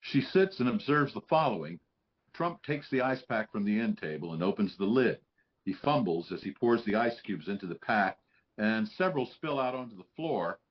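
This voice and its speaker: A man's voice: on the low side, lively intonation, normal speed, highs cut off. A man reading scripted stage directions as narrator at a live table read.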